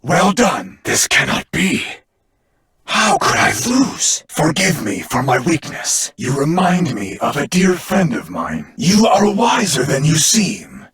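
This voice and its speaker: An actor voicing a noble, solemn warrior sworn to protect his world, speaking formally and with dramatic earnestness in an anime card game, in a husky, gravelly voice. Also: on the high side, wildly swooping pitch, slow pace, fairly bright tone, exaggerated voice. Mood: solemn, sincere.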